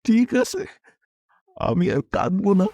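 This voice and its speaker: A man's voice: high voice, wildly swooping pitch, slow pace, dark tone, heavily acted. Man acting a humble, fatherly old village uncle, swooping intonation. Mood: touched, tearful. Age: older. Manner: deferential, fatherly, rustic speech. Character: kindly old village tea-serving uncle, humble, worried. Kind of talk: animated horror story dialogue.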